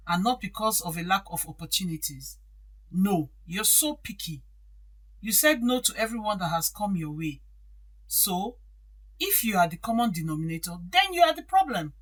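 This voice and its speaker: A woman's voice: medium pitch, a bit bright. An actress playing a domineering, impatient middle-aged stepmother in an audio drama, stern and admonishing.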